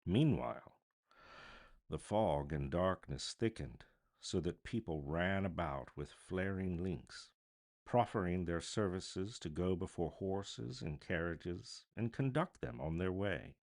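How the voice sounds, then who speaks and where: A man's voice: sits low, animated, takes it slowly, a little mellow. A man giving a dramatic scripted narration of a classic storybook, as a voice artist reading aloud.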